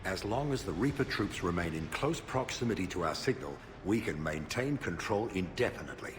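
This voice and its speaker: A deep male voice over clear music, an older research director in a video game. He explains his work carefully, with some pride. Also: animated, fairly quick. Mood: proud. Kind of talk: dramatic game dialogue.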